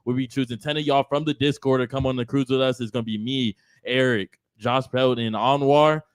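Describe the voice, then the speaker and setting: A man's voice: medium pitch, steady tone, brisk, smooth, dry recording. A man hosting a live podcast, hyping the crowd with crude, teasing banter. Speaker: podcast host. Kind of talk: live show intro and casual chat.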